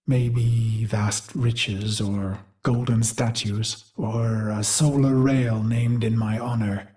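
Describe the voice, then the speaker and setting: A man voicing a brooding warrior machine mind in a video game, recalling a brutal past in a grave, theatrical monologue with deliberate phrasing. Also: lowish voice, steady tone, darkish tone. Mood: grim, resolute. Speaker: game character narrator. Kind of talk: dramatic scripted game monologue.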